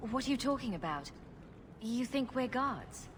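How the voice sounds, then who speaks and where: A woman's voice: a little high, big pitch swings, normal speed, background noise. A woman playing a witty, sarcastic rogue adventurer heroine in a video game. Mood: brisk. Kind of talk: video game cutscene acting.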